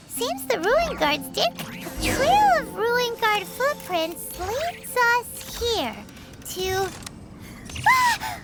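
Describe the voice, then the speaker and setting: Little fairy companion, very high-pitched, animated, perky, chatty, video game style, prominent background music. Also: female voice, heavily acted. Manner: perky, exclamatory. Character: small, childlike fairy companion, cheeky, excitable, chatty. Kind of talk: English video game voice acting. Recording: music behind the voice.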